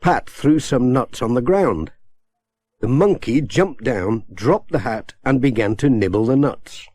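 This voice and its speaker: Slow, lively British male storyteller. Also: medium pitch, no echo. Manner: measured, expressive storytelling. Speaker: audiobook narrator. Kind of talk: scripted children's story narration.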